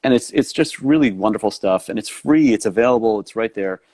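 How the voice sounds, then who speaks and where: Eager low-voiced male host. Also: lively intonation, a little fast, darkish tone, no room sound, clean studio sound. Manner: enthusiastic, repeats words as he talks. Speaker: talk show host. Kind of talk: casual interview chat.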